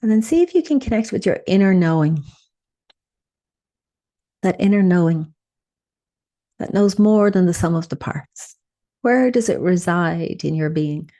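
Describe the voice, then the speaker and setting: Low, mellow woman guiding meditation. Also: expressive. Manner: soothing, unhurried, gently instructing with pauses. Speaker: guided meditation host. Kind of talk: guided meditation.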